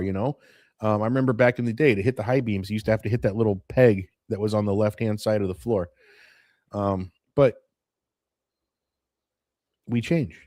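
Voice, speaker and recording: A man's voice: lowish voice, a little mellow, dry recording, clean studio sound. Chatty man in his late forties. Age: 40s. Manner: chatty, nostalgic, wanders off topic. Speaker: host of a morning live stream. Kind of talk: casual rambling chat to viewers.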